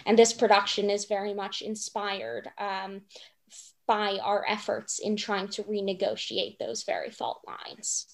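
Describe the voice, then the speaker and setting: A young woman with a medium-pitched voice talks in a panel discussion about a production. She is measured and formal, often pausing to search for the next phrase. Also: little pitch movement, creaky. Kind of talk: thoughtful academic panel discussion.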